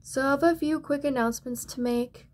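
A woman's voice: high-pitched, brisk. A woman host giving a casual, apologetic and pleading update to her online viewers. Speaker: channel host and amateur animator.

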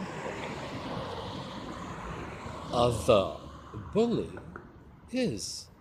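A man in his fifties with a Quebec French accent, a native French speaker who rambles in a self-mocking way and mixes French words into his English. He films himself outdoors with a phone held low at arm's length. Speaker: vlogger. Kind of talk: improvised comedy vlog, talking to an imagined partner. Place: outdoors by a stone wall under trees. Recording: outdoor noise and passing traffic.

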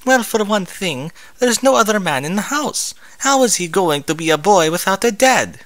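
A man's voice: high-pitched, lively intonation, normal speed, fairly bright tone, no room sound, voice pushed up. A man voicing a refined, fretful female pony, an anxious new mother in a story, fussy and dramatic. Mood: worried.

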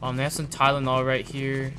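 A man plays along in an improvised game roleplay, chatting informally with animated reactions. His voice is bright and mid-pitched, with faint music behind him. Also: brisk. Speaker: roleplay video host and player. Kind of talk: improvised gaming roleplay chat.

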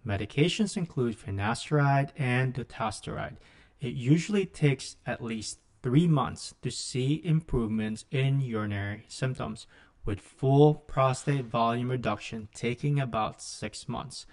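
A middle-aged man, a physician lecturer, reads a scripted medical lesson in a measured, instructional manner into a close microphone. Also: mid-range voice, darkish tone.

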